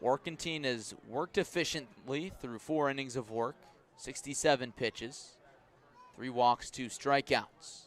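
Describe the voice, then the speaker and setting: A man calling a baseball game live on the radio, describing each pitch in measured phrases and lifting his voice for key plays. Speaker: baseball play-by-play announcer. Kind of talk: live radio sports commentary.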